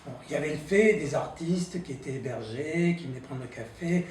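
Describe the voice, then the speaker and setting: A man from the theatre side talks through how the project grew, stumbling over and repeating words. His voice is mellow and a little high. The mic is distant, with room echo and a faint hum. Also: animated, a little fast. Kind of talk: reflective interview talk.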